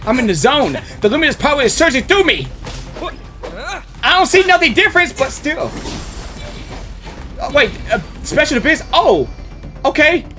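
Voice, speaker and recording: Guy streaming his game reactions, super animated n slangy, faint music in the back. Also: very high voice, moderate pace, pauses often. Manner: excitable, slangy. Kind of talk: live gameplay reaction commentary, casual.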